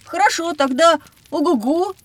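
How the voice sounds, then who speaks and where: A woman voicing an excitable, curious young child in an audio drama for children, with exclamatory delivery. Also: very high voice, normal speed, dry recording. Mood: curious, eager.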